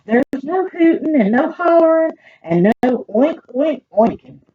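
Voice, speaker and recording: Stern storybook farmer played by a female reader, bossy rule-giver, slow emphatic delivery, muffled audio. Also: high voice, animated, dry recording, voice pushed up. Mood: stern, forbidding. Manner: emphatic, repetitive announcing. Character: stern male farmer laying down rules. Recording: dull muffled audio with background noise.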